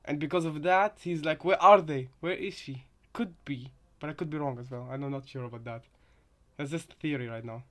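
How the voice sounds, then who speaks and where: Higher-voiced man, tentative reaction chat. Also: brisk. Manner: tentative, repetitive, rambling. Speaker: co-host reacting to game voice lines. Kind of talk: casual reaction commentary.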